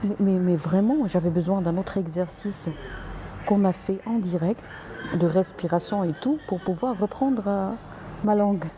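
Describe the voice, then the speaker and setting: A woman's voice: mid-range voice, moderate pace, dark tone. A woman doctor answering viewers' comments on a live stream, polite but direct, with frequent hesitations and restarts, slipping in English and Arabic words.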